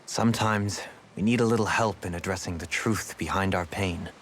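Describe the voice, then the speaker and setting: Deep, refined, pensive nobleman played by an actor. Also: fairly even intonation, moderate pace, a little hiss or hum, natural voice. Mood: sympathetic. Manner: eloquent, formal, pensive, articulate. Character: refined, thoughtful nobleman turned rebel ally. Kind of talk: video game English dub acting. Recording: game music playing behind. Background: background music.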